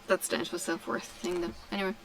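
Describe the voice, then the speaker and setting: A middle-aged woman from Tasmania chatting casually while she crafts, answering questions slowly with many ums and pauses. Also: lowish voice, steady tone, vocal fry, slight background noise. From Australia (Tasmania). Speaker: craft vlogger. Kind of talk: relaxed chat while crafting.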